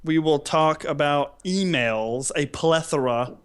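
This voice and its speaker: A man's voice: a little high, slow, smooth. A man co-hosting a podcast in casual comedic banter, talking in a jokey, rambling way. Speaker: podcast co-host.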